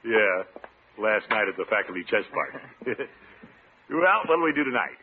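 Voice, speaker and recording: A tinny old radio recording carries a blustery middle-aged bachelor. His voice is low and full of big swoops, pompous and self-important in a classic radio sitcom way. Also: very animated, moderate pace, noisy background. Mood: wheedling. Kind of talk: 1940s-50s radio comedy acting.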